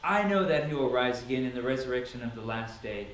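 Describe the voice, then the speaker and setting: A man's voice: medium pitch, lively intonation, fast talker, fairly bright tone, pitched below the performer's own voice, natural voice. A man voicing a devout, grieving woman in a dramatic scripture reading, earnest and pleading. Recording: echoey room, distant mic, noisy.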